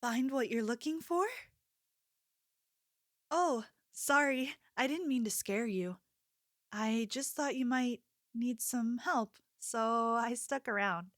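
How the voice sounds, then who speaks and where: A woman playing a sweet, attentive young convenience store clerk in an ASMR roleplay, speaking softly with a gentle, hesitant manner. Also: fairly high pitch, takes it slowly, no room sound, studio quality. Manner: gentle, apologetic, hesitant, solicitous.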